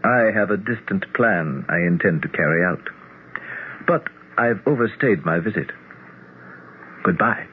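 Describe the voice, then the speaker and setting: Fallen immortal prince, halting n theatrical, slow, old tinny radio drama. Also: male voice, low-pitched, expressive. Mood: grave. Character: fallen immortal prince, proud god stripped of power. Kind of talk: 1970s radio drama acting. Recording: noisy, narrowband old radio recording.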